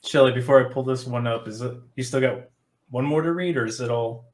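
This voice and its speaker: A man's voice: medium pitch, brisk. A man casually hosting a stream and relaying questions from the chat. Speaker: stream host.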